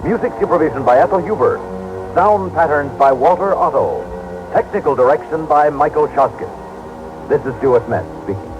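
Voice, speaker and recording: Male announcer, vintage radio delivery, slightly high pitch, music bed, muffled recording. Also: lively intonation, normal speed. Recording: dull old broadcast, music behind.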